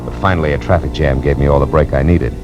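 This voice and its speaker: An American actor playing a tough, wisecracking private detective in a 1940s radio noir drama, with a terse, wry delivery. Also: male voice, extremely low pitch, steady tone, fast. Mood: tense narration. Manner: hard-boiled, terse, wry. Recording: muffled old radio recording, music behind.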